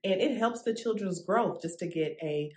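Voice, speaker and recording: A young woman, host of the channel, reading a children's picture book aloud in an expressive, animated way. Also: medium pitch, normal speed, husky. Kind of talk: read-aloud storytelling. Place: indoor studio with plain orange backdrop.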